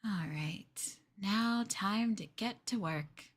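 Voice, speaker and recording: A woman performs a yandere girlfriend for an ASMR roleplay, sweet-talking and obsessively possessive. She coos and coaxes at an unhurried, slow pace, in a medium-pitched female voice. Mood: sweetly sinister. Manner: cooing, coaxing, with murmurs and giggles.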